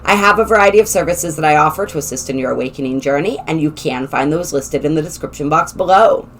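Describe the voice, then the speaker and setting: A woman hosting a spiritual channel, her voice slightly raspy, talking in a gentle, flowing way with some halting restarts. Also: low voice, slight background noise. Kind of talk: casual spoken explainer, partly read aloud.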